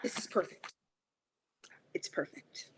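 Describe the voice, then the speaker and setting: A woman's voice: slow, whispered, lightly acted. A woman reading the part of a scheming teenage girl who begs her friend for a favor, at a table read. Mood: pleading.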